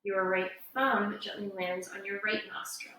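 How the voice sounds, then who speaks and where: A woman giving guided meditation instruction as a yoga teacher, in a gentle, unhurried, soothing way. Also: mid-range voice, steady tone. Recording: echoey room, distant mic, faint noise.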